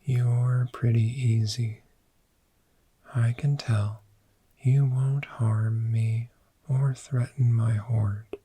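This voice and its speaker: A man voicing a friendly, gentle dragon in a soft-spoken, soothing ASMR roleplay, with a breathy whisper and long pauses. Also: medium pitch, fairly even intonation, no echo. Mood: gentle, curious. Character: friendly, gentle cave dragon fond of sleep.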